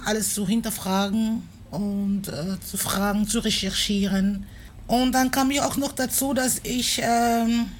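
A slow-speaking woman from Cameroon whose first language is not the one she speaks, her voice a little high. She answers an interview in a thoughtful, hesitant way, full of pauses and fillers. A faint hum sits behind her on the recording. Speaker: interviewee, performer and activist.

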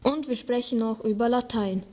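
Student radio host, female, early teens, higher-pitched voice, scripted presenting. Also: moderate pace, warm tone. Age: young teenager.

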